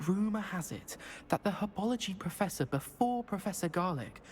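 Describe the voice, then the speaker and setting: A man's voice: high voice, expressive, normal speed, soft background music. An actor playing a nervous, self-deprecating young male wizard student in a video game, speaking hesitantly and fussily. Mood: anxious. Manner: hesitant, fussy and self-conscious. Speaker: game character.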